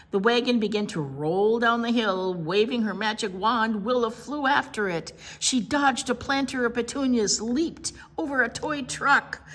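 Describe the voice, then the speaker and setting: A woman's voice: mid-range voice, fairly bright tone. A woman reading a picture book aloud expressively as a children's storytime presenter.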